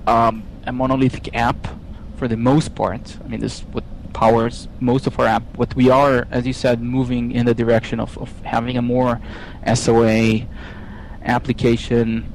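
A man from Israel with an Israeli accent, speaking English as a guest in a technical interview, with frequent pauses, fillers and restarts. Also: mid-range voice, flat delivery, creaky, a little mellow, slight background noise, rough recording. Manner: hesitant, many fillers and restarts. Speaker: software engineer guest.

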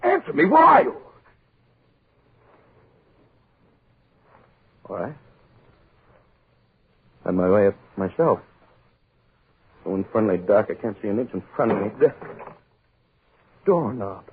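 A man's voice: lowish voice, huge pitch swings, normal speed, long pauses, slight background noise. An actor playing a pompous, irritable wealthy middle-aged man who blusters, pleads and repeats himself, in 1940s American radio horror style. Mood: panicked. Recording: muffled old 1940s radio recording.

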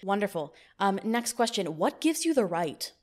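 A woman podcast host running a mock-stern job interview in a deadpan manner, recorded in an echoey new studio. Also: mid-range voice, moderate pace.

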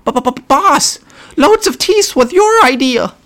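A man voicing a small, cowardly, scheming male goblin who stammers and wheedles in a high voice. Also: lively intonation, slow, a bit bright, full character voice. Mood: wheedling.

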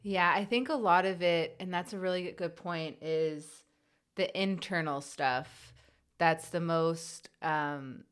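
A woman who stutters, interviewing a guest in an earnest, reflective way, with repeated sounds and pauses. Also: on the low side, fairly bright tone, clean tone. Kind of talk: conversational interview.

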